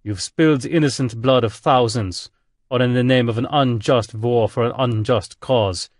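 A man voicing an imperial space admiral with a thick German accent, booming and imperious. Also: moderate pace, no echo, clean studio sound, lightly acted. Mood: persuasive.

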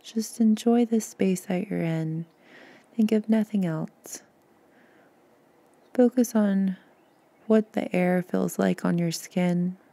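A woman guiding a meditation in a soft, soothing, gentle voice, breathy at times, with unhurried pauses. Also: medium pitch. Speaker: podcast host and meditation guide. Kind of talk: guided meditation narration.

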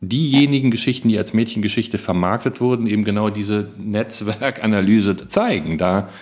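A man's voice: on the low side, normal speed, a little mellow. A man co-hosting a podcast in a casual discussion. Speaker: podcast co-host.

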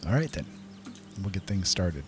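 A man's voice: deep voice, sing-song, moderate pace, a little hiss or hum. A man doing casual let's play commentary as a gaming host, thinking aloud as he plays. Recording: game music behind voice. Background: game music and outdoor nature sounds.

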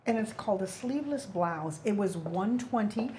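A woman's voice: mid-range voice, lively intonation, normal speed, darkish tone, a little hiss or hum. A woman co-hosting a live fashion sales stream with casual, conversational talk. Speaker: off-camera co-host of a fashion shopping livestream. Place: indoor studio, behind the camera.

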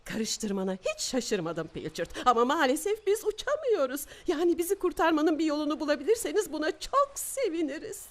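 A woman's voice: sits high, big pitch swings, fairly quick, few pauses. A woman playing an exasperated, sarcastic Viking woman in a dubbed children's cartoon. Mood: dry, sarcastic.

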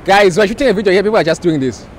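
Fast-talking young man vlogging outdoors. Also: high voice. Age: 20s. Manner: playful, teasing. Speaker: vlog host and interviewer. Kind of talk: casual vlog presenting and playful interviewing. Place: open beach, outdoors. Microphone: small handheld mic. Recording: outdoor wind and ambient noise.

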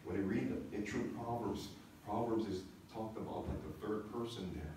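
Echoey, distant male preacher with low voice. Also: steady tone, normal speed. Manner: conversational teaching with check-in questions and repetition. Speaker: pastor. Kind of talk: sermon. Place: church sanctuary, speaking from the stage. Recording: echoey church hall, distant mic, faint noise.

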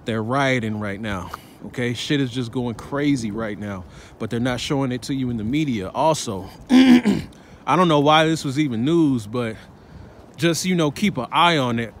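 A man's voice: mid-range voice, animated, moderate pace. A man talks while walking down a city sidewalk, preaching his views in a street-slang manner and checking in with "all right?" and "man" again and again. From Chicago, United States. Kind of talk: walking vlog commentary. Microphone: phone held while walking. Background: faint traffic and street ambience.

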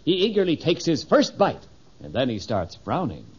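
A man's voice: medium pitch, huge pitch swings, moderate pace, husky. A man announcing and narrating a 1950s radio show in scripted style. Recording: muffled old radio broadcast. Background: loud music.

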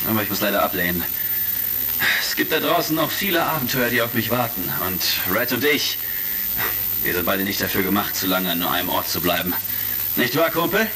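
Bright, forceful space commander, acted by a man. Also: lowish voice, lively intonation, a little fast. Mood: confident, earnest. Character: confident, friendly male space commander hero. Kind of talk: retro sci-fi audio drama acting. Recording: faintly crackly vintage record.

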